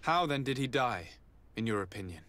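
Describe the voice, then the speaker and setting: Game role, male actor: polite, authoritative aristocratic diplomat, medium pitch, faintly noisy background. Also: expressive, brisk, fairly bright tone, natural voice. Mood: formal, inquiring. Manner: formal, polite, inquisitive. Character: poised, commanding young male noble diplomat. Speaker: noble envoy. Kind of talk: video game dialogue acting.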